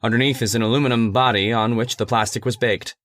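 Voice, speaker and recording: Male actor as persuasive scientist's assistant, formal and deliberate, mid-pitched, dry studio sound, vintage radio horror. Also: steady tone, a bit bright, no room sound, natural voice. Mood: measured, ominous. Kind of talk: old-time radio horror acting.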